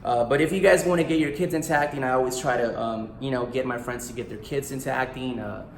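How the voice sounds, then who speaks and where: A man's voice: mid-range voice, fast, creaky, slight background noise. A man in his thirties, an actor and author whose first language was Spanish, talking in a casual, eager vlog style with plenty of "like" and "you know.". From United States. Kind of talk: casual self-promo vlog. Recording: roomy echo in a small room.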